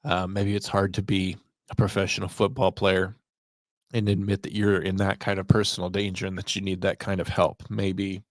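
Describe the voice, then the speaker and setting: Low-voiced man in reflective commentary. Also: monotone, moderate pace, no room sound. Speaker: podcast host and 911 dispatcher.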